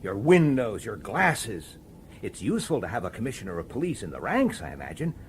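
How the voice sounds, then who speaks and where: Radio drama detective: determined, insistent and cornered, highly varied intonation, slight background noise. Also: male voice, very animated, fairly quick. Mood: accusing. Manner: insistent, accusatory, clipped sardonic questioning. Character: dogged, defiant male police detective, framed and determined.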